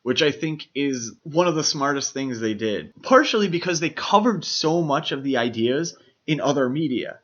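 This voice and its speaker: A man's voice: on the high side, expressive, normal speed, few pauses, fairly bright tone, dull audio. A man co-hosting a podcast in a casual film discussion, explaining his opinions as he goes. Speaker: podcast co-host.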